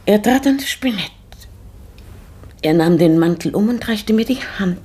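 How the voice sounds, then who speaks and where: A breathy, brooding widow. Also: female voice, medium pitch, expressive, a little mellow. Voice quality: breathy, often whispering. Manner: halting, searching, drifts into whispers. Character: grieving widowed lady of a house, brooding. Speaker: Donna Francisca, mistress of the house. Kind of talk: 1960s German radio play acting.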